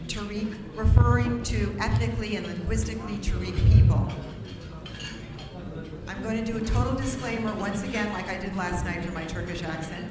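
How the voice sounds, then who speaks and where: A woman hosting a luncheon, welcoming guests and giving background information, who stumbles over place names and laughs it off. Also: medium pitch. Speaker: event host. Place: large hall at a public event. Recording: echoey hall from far off with crowd.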